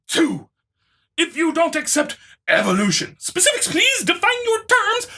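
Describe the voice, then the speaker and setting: A woman plays an indignant, smug online commenter in a mock dramatic reading. The voice is pitched very high and swoops theatrically, drawing out words with overwrought self-importance. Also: huge pitch swings, measured pace, vocal fry, sharp tone, exaggerated voice. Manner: overwrought, reads punctuation aloud.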